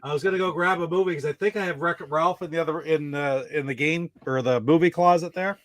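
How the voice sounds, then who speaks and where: A man's voice: fairly high pitch, fast talker. A man talking casually as a member of a podcast panel. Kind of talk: casual chat.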